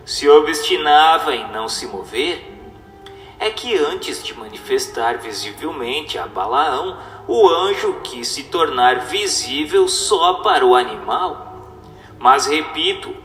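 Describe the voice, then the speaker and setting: A man giving a scripted, solemn reading in a formal, didactic and deliberate manner, as a narrator. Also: fairly high pitch, pushed and bright. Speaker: narrator reading a spiritist text. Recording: echoey reverberant voice with music. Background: music.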